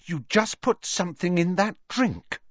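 A man's voice: sits high, expressive, quick pace, bright, forceful tone, no echo, dull audio, voice pushed up, full character voice. A man voicing a bossy, indignant teenage schoolgirl with an urgent, scolding manner in a storybook reading. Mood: alarmed, accusing.

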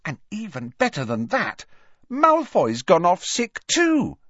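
Storybook role: cheerful, blunt teen girl performed by a man, raised pitch, brisk manner, slightly dull recording. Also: wildly swooping pitch, a bit bright, no echo, full character voice. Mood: bright, gossipy. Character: lively teenage schoolgirl, bright, sporty and blunt.

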